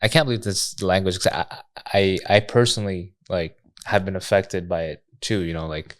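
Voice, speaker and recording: Easygoing low-voiced podcast host. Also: male voice, fairly even intonation, normal speed. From Quebec. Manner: agreeable, with short affirmations and easy questions. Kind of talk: casual podcast conversation.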